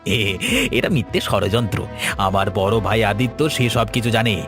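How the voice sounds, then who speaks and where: A man's voice: on the low side, normal speed, over music, natural voice. A man voicing a frightened, guilty village meat seller in a cartoon, stammering and repeating words nervously. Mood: defensive. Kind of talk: Bengali cartoon dialogue.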